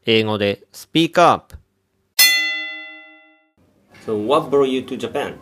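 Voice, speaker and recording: A man's voice: mid-range voice, very fast, heavy background noise. A man hosting a podcast, introducing a guest and asking her short, simple questions. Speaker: podcast host. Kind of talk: interviewing a guest.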